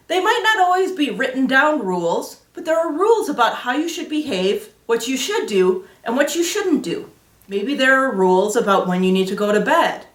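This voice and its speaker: A woman hosting a children's Sunday school storytime, explaining things simply in a teacherly way with rhetorical questions. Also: a little high. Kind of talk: explaining simply to children, with Bible reading and interviewing. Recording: roomy echo, distant from microphone.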